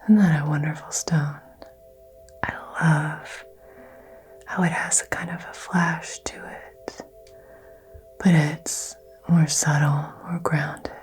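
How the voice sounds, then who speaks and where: Slow, low whispering woman. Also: flat delivery, husky, soft background music. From American South. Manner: soft, slow, soothing whisper. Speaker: ASMR creator doing a crystal healing. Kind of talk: whispered ASMR roleplay.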